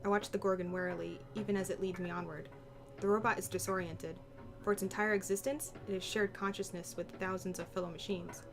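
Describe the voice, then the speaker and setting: A woman's voice: medium pitch, steady tone, a little fast, over music. A woman reading visual novel text aloud as dramatic first-person narration.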